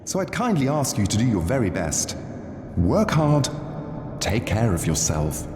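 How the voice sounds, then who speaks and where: Condescending theatrical master of ceremonies, wildly swooping pitch, slow drawl, mock courtesy, game dialogue in a cavernous hall. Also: male voice, huge pitch swings, music in the background. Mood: grave, condescending. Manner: theatrical, drawling, swooping delivery with dramatic pauses. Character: theatrical male stage director, mockingly polite, pitying, condescending. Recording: long echoing hall reverb, faint noise.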